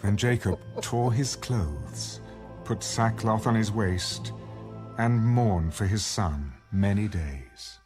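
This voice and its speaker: A man's voice: fairly low pitch, expressive, takes it slowly, over music. A man performing as a solemn narrator in a dramatized audio Bible, reading scripted scripture. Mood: solemn narration.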